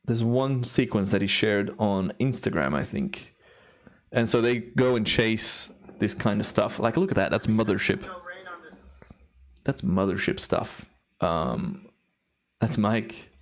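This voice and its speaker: A man's voice: on the low side, expressive, slow pace, slight background noise. Casual male streamer with a tiring voice. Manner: enthusiastic, awed exclamations. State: voice tiring from a day of recording. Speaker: live stream host, timelapse photographer. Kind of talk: casual live-stream commentary.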